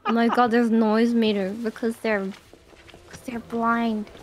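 A woman streaming a co-op video game with a friend, excitable and quick to gasp and laugh. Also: a little high, a little hiss or hum. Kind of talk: casual gaming chat.

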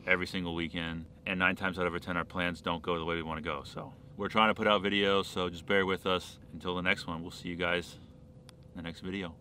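Young man, low voice, upbeat fishing vlog, open boat outdoors, chest camera, faint engine noise. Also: flat delivery, fairly quick, pushed and bright. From Florida. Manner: upbeat, talks straight to camera. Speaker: fishing vlogger. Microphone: chest-mounted action camera.

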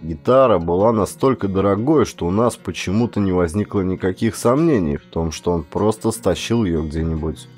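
A man reading a story as an audiobook narrator, in an expressive, dramatic storytelling manner. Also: low-pitched, normal speed, few pauses, faint music behind.

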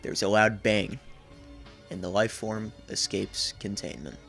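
A man's voice: on the low side, unhurried, vocal fry, fairly bright tone, soft background music. A man in his twenties giving a scripted narration as a video essayist. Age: 20s. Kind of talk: scripted narration, horror series recap. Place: small indoor room.

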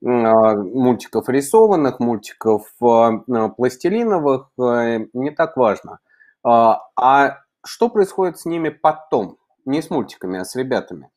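A middle-aged man talking as an interviewer on a video call, with deliberate, choppy phrasing and hesitations. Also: mid-range voice, a little mellow. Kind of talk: conversational interview questioning. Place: indoor room, video call.

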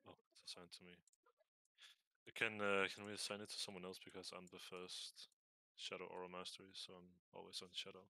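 A man's voice: low-pitched, completely flat delivery, a little fast, pushed and bright, no room sound. A man chatting casually with his raid group over game voice chat. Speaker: raid member.

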